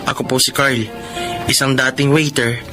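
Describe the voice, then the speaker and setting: A man's voice: mid-range voice, little pitch movement, normal speed, over music, natural voice. A man playing an earnest orphaned young brother in a radio drama. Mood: sincere. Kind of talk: radio drama acting and narration.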